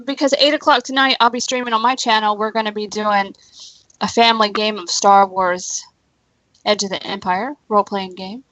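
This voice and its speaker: A woman's voice: mid-range voice, fairly even intonation, moderate pace, fairly bright tone, no echo, dull audio. A woman co-hosting a livestream and chatting casually. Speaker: livestream co-host. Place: indoors, live stream.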